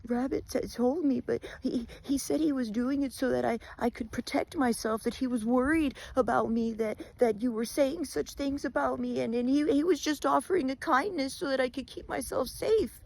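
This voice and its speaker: A timid male wolf, scared and stammering, trips over his words in a storybook tale, as a woman narrator puts him on. His voice rises higher and more anxious than the teller's own. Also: normal speed, creaky, hardly any pauses, a little mellow, rough recording. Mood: nervous. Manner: stammering, nervous.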